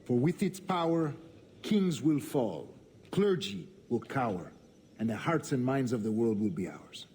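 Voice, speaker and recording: A man's voice: mid-range voice, very animated, a little slow, a little mellow, slight background noise. A man playing a commanding, courteous Spanish governor and secret grandmaster in a game, formal and ceremonious with a Spanish accent. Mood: resolute. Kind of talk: solemn dramatic game acting.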